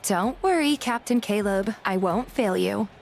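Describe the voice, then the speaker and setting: A woman's voice: mid-range voice, animated, a little slow, creaky, a little mellow, soft background music. A woman voicing a playful young woman who poses as a doting girlfriend in an audio drama. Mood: playful, confident. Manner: affectionate, teasing, play-acted sweetness.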